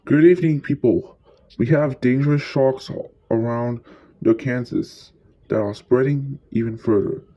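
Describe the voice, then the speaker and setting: A man playing an anxious TV news anchor in an amateur mock disaster bulletin, halting and stumbling over his words. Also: mid-range voice, mellow, lo-fi sound, natural voice. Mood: uneasy, informing.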